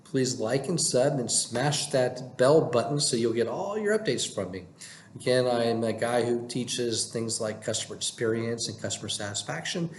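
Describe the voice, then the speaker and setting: A man talking casually to camera as a vlogger giving advice, with halting delivery and frequent hesitations. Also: mid-range voice, expressive. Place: indoor room with plain wall, working from home. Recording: echoey room with faint background noise.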